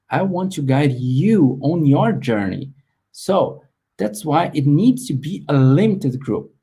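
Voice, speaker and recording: A man talks through his online course in a webinar, sincere and a little halting. His voice is husky and mellow. His pitch rises and falls in lively swings. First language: Portuguese. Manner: earnest, plain non-native phrasing, some restarts. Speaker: course creator hosting a webinar. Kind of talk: live webinar sales pitch.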